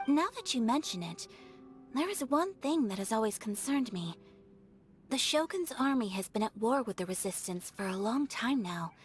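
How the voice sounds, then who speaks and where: Woman as a poised, high-voiced young noblewoman. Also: close to an everyday voice. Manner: measured, composed, formal. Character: poised, refined young noblewoman, thoughtful strategist, gently serious. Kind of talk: anime game voice acting. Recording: background music under the voice. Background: music.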